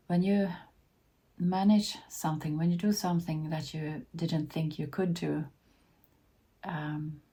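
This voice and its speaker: A woman in her fifties from Sweden, Finnish by first language, talks slowly to camera in a low, mellow voice. Her tone is a little husky, and she often pauses and restarts as she goes. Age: 50s. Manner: hesitant, with many restarts and soft ums. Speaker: vlogger. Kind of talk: casual talk to camera.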